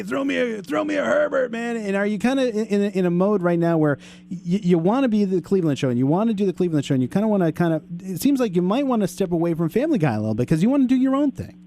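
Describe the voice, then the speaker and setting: A man's voice: high-pitched, animated, quick pace, gravelly, warm tone. An American man hosting a casual interview, asking long, rambling, chatty questions. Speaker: interviewer, show host.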